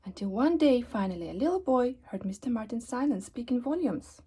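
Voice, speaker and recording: A woman reading a children's story aloud expressively, with dramatic emphasis and pauses. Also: a little high, expressive, warm tone, breathy edge. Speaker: storybook reader.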